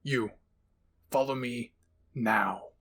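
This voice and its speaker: A man voicing a stern, gruff male military commander in an anime story, curt and commanding. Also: mid-range voice, extremely slow, fairly bright tone.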